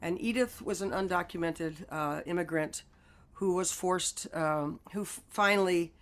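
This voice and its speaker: A singer-songwriter woman with a stuffy cold, speaking earnestly over a video call as she introduces a song. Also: fairly low pitch. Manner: earnest, halting with fillers. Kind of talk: casual talk introducing a song.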